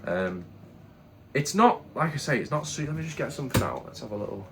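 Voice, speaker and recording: A man's voice: medium pitch, very animated, a little fast, noisy background. A British man with a congested head cold, casually reviewing products in a chatty, candid, rambling way with frequent restarts. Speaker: product reviewer, channel host.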